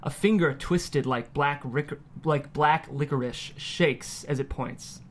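A man with a fairly high voice hosts a script-reading show from a studio. He presents in an upbeat manner and narrates screenplay action. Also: takes it slowly, a little hiss or hum. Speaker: host of a script table-read show.

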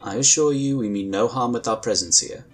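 An actor playing a calm, courteous psionic inquisitor in a sci-fi audio drama, with measured, formal phrasing. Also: male voice, mid-range voice, soft background music, close to an everyday voice. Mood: polite, diplomatic.

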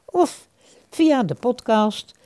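A woman's voice: on the high side, lively intonation, very slow, mellow. A woman announcer reading scripted closing credits for a radio programme.

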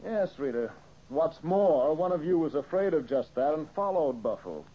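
A man's voice: on the high side, very animated, normal speed. An actor playing a confident, methodical male detective in an old-time radio mystery, explaining with emphasis. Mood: assured, accusing. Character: brisk, confident male detective laying out a case. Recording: muffled old radio recording.

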